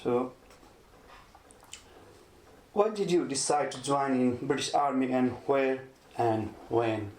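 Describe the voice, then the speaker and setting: A man's voice: medium pitch, takes it slowly, pauses often, a little mellow. Male interviewer in an echoey room. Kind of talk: formal scripted interview questions. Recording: echoey room, faint background noise.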